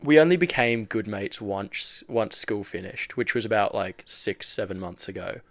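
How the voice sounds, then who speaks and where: A man's voice: on the low side, expressive, normal speed, no echo. A young man with an Australian accent, co-hosting a podcast in cheeky, hyped casual chat with some swearing. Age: young adult. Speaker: podcast co-host.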